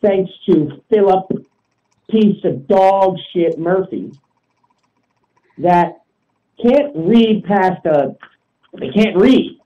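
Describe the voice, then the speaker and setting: Male discussion guest, slightly high pitch, opinionated and profane, insistent delivery, muffled low-fidelity recording. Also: expressive, extremely slow, long pauses, warm tone. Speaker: talk show participant.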